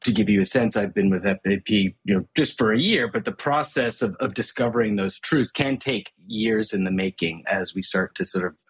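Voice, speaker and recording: A middle-aged man from Hong Kong speaks as a guest in a podcast interview. His low voice has a husky edge, and he explains his thinking patiently, often restarting a phrase. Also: moderate pace, hardly any pauses, low-quality recording. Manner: thoughtful, explanatory, with frequent restarts. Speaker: guest, brand strategist.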